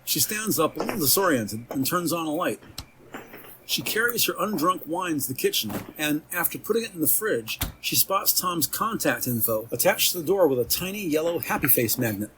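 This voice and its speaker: A man reading scripted audio drama narration in a measured, detailed storytelling manner. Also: on the high side, lively intonation, noisy background. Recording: music behind the voice.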